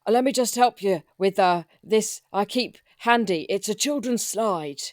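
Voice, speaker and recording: A woman's voice: mid-range voice, measured pace, no echo, studio quality. A woman improvising in-character dialogue as a player in a roleplay podcast.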